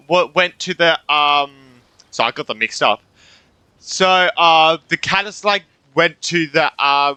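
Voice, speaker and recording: A man's voice: a little high, bright, forceful tone, smooth, no room sound. A man giving casual, informal reactions as a gaming streamer, enthusiastic and often repeating his phrases. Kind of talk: casual game playthrough reactions.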